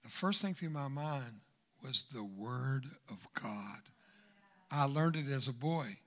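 An older man preaching a sermon in church, swinging from loud exhortation to hushed asides. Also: mid-range voice, animated, slow pace. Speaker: guest preacher. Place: church sanctuary.

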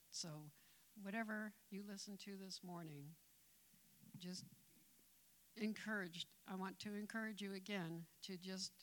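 Slow, soft-spoken woman giving a testimony. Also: medium pitch. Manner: soft-spoken, slow, tender, with small laughs. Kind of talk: church testimony. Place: church sanctuary.

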